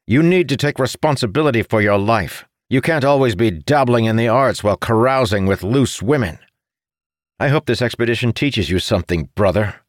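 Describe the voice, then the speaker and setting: A man's voice: lowish voice, lively intonation, moderate pace, no echo, clean studio sound, pitched above the performer's own voice, natural voice. A man voicing a stern, self-righteous elder brother in an audiobook, harsh and preachy. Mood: harsh, reproachful. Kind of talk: audiobook character voice.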